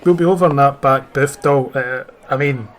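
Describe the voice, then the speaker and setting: A man's voice: medium pitch, moderate pace, natural voice. A man playing a cocky, crude gangster ringleader in a radio drama. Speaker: actor in an audio drama.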